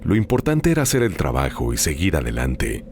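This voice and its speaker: A man with an extremely low voice narrates eerie tales as a host. His delivery is deliberate and scripted, pausing for effect, and his pitch rises and falls in an animated way. A noticeable ambient noise sits behind him. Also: creaky. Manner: measured, deliberate storytelling with dramatic pauses. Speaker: host and narrator of listener-submitted scary stories. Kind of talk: slow scripted horror narration.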